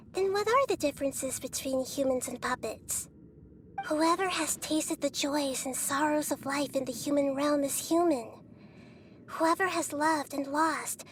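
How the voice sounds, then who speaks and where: Casting: wise little goddess, high soft girlish register, measured philosophical game delivery. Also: a little hiss or hum. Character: small, gentle, wise young female deity. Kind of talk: video game voice acting.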